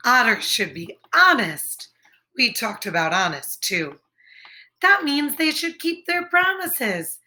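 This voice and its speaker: A woman teacher reading a children's picture book aloud, expressive and deliberate, speaking directly to her young listeners. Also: fairly high pitch.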